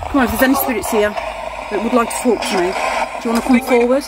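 A woman's voice: on the high side, quick pace. A middle-aged woman talking casually as a ghost-hunting vlogger, filming herself outdoors on a handheld camera. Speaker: paranormal investigator vlogger. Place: dark outdoor stone-walled alley at night. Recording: noisy outdoor handheld recording.

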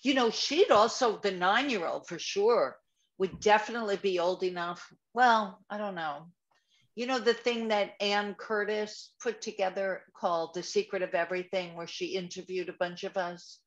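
A woman's voice: medium pitch, normal speed, muffled recording. A woman chatting on a group video call, casual and prone to trailing off while she searches for names.

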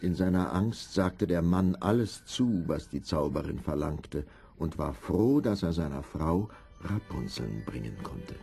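Male fairy-tale narrator, mellow, gravelly, measured, expressive storytelling. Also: low-pitched, faint music behind.

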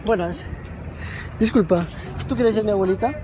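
A man's voice: high-pitched, normal speed. A young man, pranking strangers in shops and a park in a playful, teasing way, filmed on a handheld phone. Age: young adult. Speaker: prankster vlogger. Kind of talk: street prank vlog. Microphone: handheld phone camera. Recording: noisy street recording.

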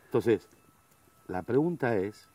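Argentine host guy, animated questions, sounds a little far off the mic, dry. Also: fairly low pitch, slow, dry recording. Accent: Rioplatense Spanish. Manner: prompting, finishes guest's sentences. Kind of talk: interview chat. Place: outdoor garden cooking set.

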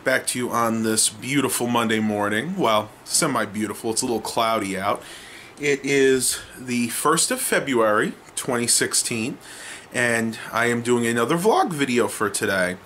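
A man's voice: mid-range voice, lively intonation, normal speed, a bit bright. Young American man vlogging, noisy audio. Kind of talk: casual vlog chat to viewers. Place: small home room, indoors. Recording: clearly noisy home camera audio.

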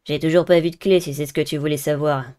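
Cool, cocky high-school girl done by a woman, low and smooth, laid-back, for a dating game. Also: steady tone, fast talker, dark tone, close to an everyday voice. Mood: cool, casual.

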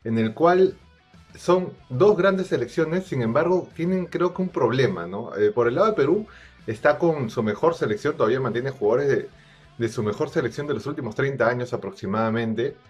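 A man with a Peruvian accent giving informal football analysis to camera, measured and a little hesitant, repeating phrases. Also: medium pitch, expressive, a little mellow, husky. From Peru. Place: plain indoor room. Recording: dull lo-fi sound. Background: music.

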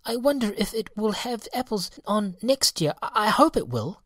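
A sweet little storybook girl, put on by a woman, childish and earnest. The voice sits far above normal speech and bounces around in pitch. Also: expressive, normal speed, no room sound, studio quality, natural voice. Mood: sorry, hopeful.

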